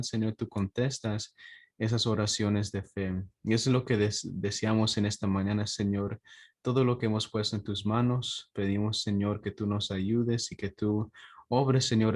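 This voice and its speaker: A man from Texas speaking as a pastor in an informal devotional, in a halting way with frequent drawn-out hesitations. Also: lowish voice, a little mellow. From Texas, United States. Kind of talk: informal morning devotional with prayer and personal stories.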